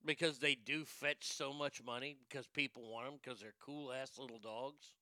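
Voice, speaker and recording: A man's voice: mid-range voice, fairly even intonation, moderate pace, pushed and bright, dry recording. A man giving comedic news commentary, sarcastic and profane, who often breaks into laughter. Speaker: commentary host.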